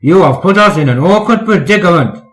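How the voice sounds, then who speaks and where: A man's voice: high voice, lively intonation, fairly quick, a bit bright, voice pushed up, poor audio. A man voicing a stern, pompous but fair railway boss in a children's storybook, speaking severely and emphatically. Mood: cross, severe.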